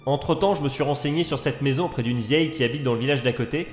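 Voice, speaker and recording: A man acting as a keen urban explorer in an audio drama, curious but cautious. He talks casually and informatively at a medium pitch. His voice rings in an echoey room, with music underneath. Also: quick pace, natural voice. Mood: intrigued, informative. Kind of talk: French audio drama, naturalistic dialogue. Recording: roomy echo, music underneath.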